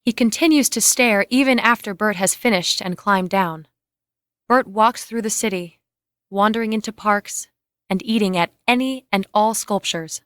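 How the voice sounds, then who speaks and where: A woman's voice: medium pitch, moderate pace, a bit bright, clean tone, no room sound, studio quality. A woman reading scripted, screenplay-style narration and stage directions.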